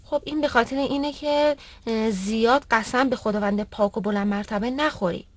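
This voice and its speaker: Fairly high-voiced pious cartoon mother played by a woman. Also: natural voice. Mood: instructive. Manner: didactic, earnest. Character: pious, instructive mother gently correcting her son. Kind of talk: dubbed educational cartoon dialogue.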